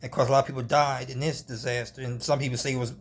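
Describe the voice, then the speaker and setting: A man giving an unscripted film review, halting, often restarting and hedging as he goes. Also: medium pitch, little pitch movement.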